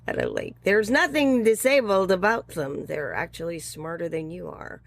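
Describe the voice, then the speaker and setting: A woman's voice: fairly low pitch, wildly swooping pitch, normal speed, creaky. A woman in her sixties, a guest educator talking through her thoughts in an interview with frequent ums and little laughs. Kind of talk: conversational interview.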